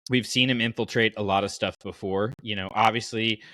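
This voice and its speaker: A man hosting a casual fan podcast, talking in an animated, rambling way as he thinks aloud. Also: fairly low pitch, fairly quick, no echo. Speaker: podcast host.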